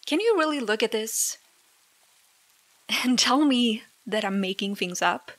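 A jealous, wounded girlfriend acted by a woman in an audio roleplay, her voice a little high-pitched. She argues slowly and haltingly, exasperated and sarcastic, in a close, dry recording with rain behind her. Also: expressive, fairly bright tone, dry recording. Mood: sarcastic, upset. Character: jealous, hurt young girlfriend arguing with partner. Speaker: ASMR roleplay performer. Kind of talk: ASMR girlfriend roleplay, dramatic monologue.

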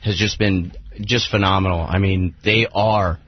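Radio crew member, male, lowish husky voice, casual delivery, slightly muffled broadcast. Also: steady tone, unhurried, no echo. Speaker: call screener on radio show. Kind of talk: casual on-air talk. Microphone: studio microphone.

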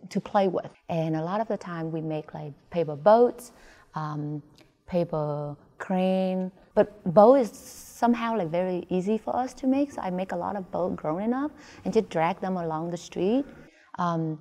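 A woman with a Vietnamese accent giving reflective interview answers as an artist, thoughtful and halting. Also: fairly low pitch, warm tone. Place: large indoor museum gallery. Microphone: lapel. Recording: reverberant gallery room.

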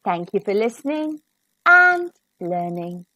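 A woman reading a rhyming storybook to children in an expressive, sing-song, carefully enunciated way. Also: medium pitch, slow, dry recording.

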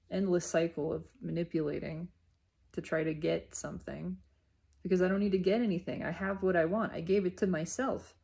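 A woman giving an unscripted, reflective spiritual monologue in deliberate, halting phrases with emphatic repetition. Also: fairly low pitch, vocal fry, mellow. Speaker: speaker sharing personal spiritual reflections.

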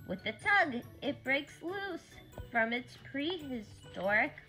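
A woman reading a rhyming picture book aloud to young children in an animated story-time style, with deliberate phrasing. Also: sits high, soft background music.

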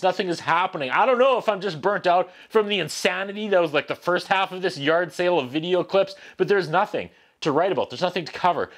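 A man in his forties giving a sarcastic, animated comedic review rant to camera, sounding exasperated. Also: high-pitched. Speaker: video reviewer, host. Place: small indoor room.

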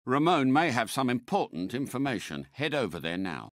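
A man's voice: medium pitch, sing-song, moderate pace, no room sound, clean studio sound, natural voice. A man playing a suave British secret agent car in a video game, polite and unflappable, with clipped, precise diction. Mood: composed, courteous.

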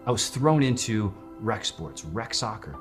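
A man's voice: on the low side, expressive, measured pace. A man speaking passionately and conversationally as a company executive in a promotional interview. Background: music.

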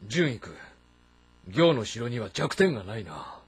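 An actor playing a shrewd, commanding middle-aged warlord of ancient China in a game drama, speaking in a measured, brooding way. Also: male voice, mid-range voice, big pitch swings, husky, exaggerated voice. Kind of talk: Japanese historical drama game acting. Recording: music behind the voice. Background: background music.

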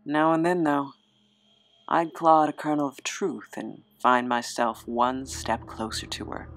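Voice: medium pitch, level tone, measured pace, fairly bright tone, low-quality recording. An actor playing a sardonic, embittered immortal with a fractured memory in an audio drama, flippant and wry in manner. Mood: wistful, bitter. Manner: flippant, wry, breathy asides and chuckles.

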